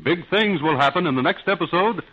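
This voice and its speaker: A man's voice: on the high side, animated, a little fast. A man announcing a 1930s radio serial in a melodramatic, suspenseful style. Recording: tinny, narrow 1930s radio recording.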